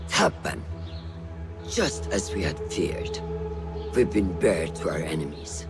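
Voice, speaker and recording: An actor playing a grave, commanding master of assassins in a video game, speaking solemnly and deliberately. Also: male voice, sits low, big pitch swings, over loud music, exaggerated voice. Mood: grave resolve. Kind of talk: dramatic video game acting.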